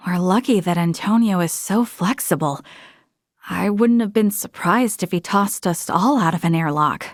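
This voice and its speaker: A woman's voice: mid-range voice, no room sound, clean studio sound, close to an everyday voice. Dutiful young female space officer, acted by a woman. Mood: composed. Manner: formal, articulate, resolute. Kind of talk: scripted video game character dialogue.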